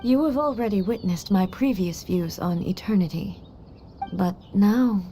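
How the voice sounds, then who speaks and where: A woman voicing a composed, regal female deity ruler in a video game, speaking in a measured, formal way. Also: medium pitch, slight background noise, close to an everyday voice. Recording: game audio with soft music.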